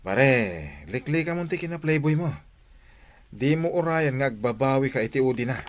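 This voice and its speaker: A man's voice: mid-range voice, normal speed, lightly acted. An actor with a Filipino accent playing a teasing but troubled young man confiding in his buddy in a radio drama.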